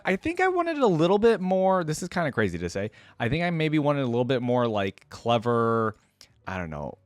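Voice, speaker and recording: A young man hosts a casual reaction video in a mid-range voice. He thinks aloud and rambles through loose asides. The sound is close and dry, with no room echo. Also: mid-range voice.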